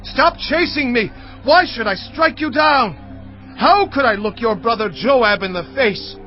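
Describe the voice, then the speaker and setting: An ancient Israelite commander speaks in a notably high male voice. He is proud, forceful and declamatory, as in a biblical audio drama. Music plays faintly beneath a slightly muffled recording. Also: animated, a little slow. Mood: urgent warning. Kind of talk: dramatized audio Bible acting. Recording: dull lo-fi audio with music behind.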